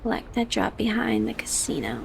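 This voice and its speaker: A woman with a soft, high voice talking quietly through a gameplay video for sleep, slipping between a hush and a whisper. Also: sing-song, a little fast, creaky, a little hiss or hum. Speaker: gamer. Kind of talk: soft-spoken ASMR gameplay.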